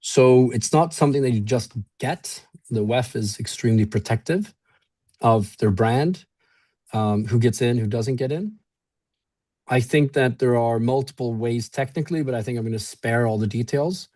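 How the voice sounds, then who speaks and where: Mellow-voiced Swedish man, relaxed. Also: mid-range voice, normal speed. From Sweden. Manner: relaxed, friendly, many fillers. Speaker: event organizer. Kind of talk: webinar panel talk.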